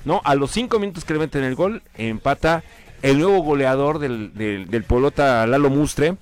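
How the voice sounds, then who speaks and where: A man's voice: mid-range voice, moderate pace. Guy on a sports show, rambly, lots of um and eh, some music under it. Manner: rambling, hesitant with many fillers. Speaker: sports commentator on a radio show. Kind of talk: casual sports chat.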